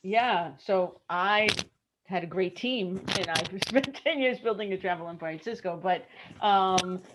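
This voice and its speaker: A middle-aged woman with a Brooklyn accent, chatting lively as an interview guest on a video call and laughing often. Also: medium pitch, measured pace, heavy background noise. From Brooklyn, New York. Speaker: guest, travel industry consultant. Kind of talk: casual interview chat.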